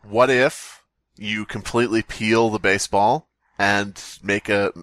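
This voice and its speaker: A man's voice: fairly low pitch, fairly even intonation, unhurried, fairly bright tone, no room sound. A man co-hosting a podcast with casual comedic critique, wry and self-deprecating. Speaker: podcast co-host.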